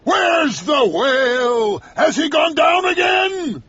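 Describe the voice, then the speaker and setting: A man voicing an old, obsessed sea captain in an audiobook, bellowing with a raspy, strained voice. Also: extremely high pitch, sing-song, takes it slowly, bright, forceful tone, raised voice, lo-fi sound, pitched above the performer's own voice, exaggerated voice. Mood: raging. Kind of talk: audiobook character voice.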